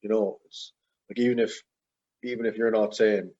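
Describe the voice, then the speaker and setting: Young guy in his twenties, low husky voice, loose rambling guest chat over a dull lo-fi line. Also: little pitch movement, moderate pace, mellow. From Ireland. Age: 20s. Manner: rambling, heavy with fillers and hedges. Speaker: podcast guest. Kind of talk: casual interview chat.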